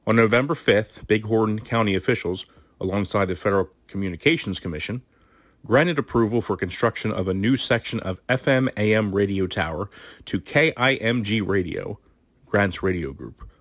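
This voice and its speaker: A man's voice: lowish voice, moderate pace, dry recording. A man co-narrating an audiobook, reading out a formal public notice.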